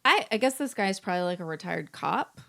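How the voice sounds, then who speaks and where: American woman telling anecdotes, medium-pitched voice. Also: a little fast, creaky. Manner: animated storyteller, quotes people in anecdotes. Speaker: podcast co-host. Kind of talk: casual chat.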